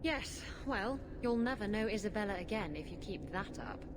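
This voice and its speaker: A woman's voice: mid-range voice, lively intonation, normal speed, close to an everyday voice. A woman playing a brash, teasing female pirate in a video game, with a saucy delivery. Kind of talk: video game character acting. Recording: long reverb, noisy ambience.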